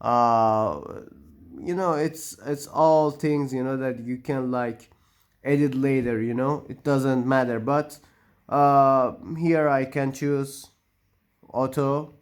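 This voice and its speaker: Guy whose first language is persian walking thru a computer install, mid voice, slow and halting, lots of uh and restarts. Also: medium pitch, steady tone, smooth. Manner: halting, many fillers and restarts, non-native phrasing. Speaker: tech tutorial maker. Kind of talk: screen-recorded walkthrough narration.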